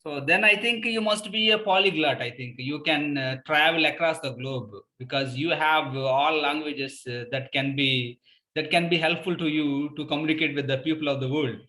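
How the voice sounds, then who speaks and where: A man's voice: fairly high pitch, moderate pace, bright, forceful tone. A man with an Indian English accent hosting and moderating a video call session, speaking formally and courteously to the audience. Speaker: host and moderator. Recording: echoey room.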